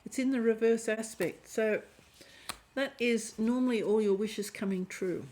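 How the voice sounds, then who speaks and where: A woman giving a chatty tarot reading to camera, hoarse and often clearing her throat as her voice fades. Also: on the high side, a little slow, mellow, a little hiss or hum. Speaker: tarot reader.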